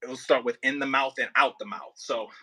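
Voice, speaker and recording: Bright-voiced man coaching vocal warm-ups. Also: mid-range voice, steady tone, breathy edge. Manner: informal, halting explanations with many "like" and "kinda" hedges. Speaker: voice and warm-up coach leading a rehearsal. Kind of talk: casual hands-on coaching over a video call.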